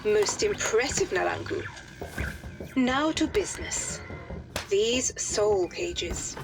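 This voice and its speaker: An actress playing a composed, businesslike woman who leads adventurers in a video game, with a brisk, formal manner. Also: medium pitch, lively intonation, gravelly, music in the background. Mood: grave, businesslike. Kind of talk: video game cutscene acting.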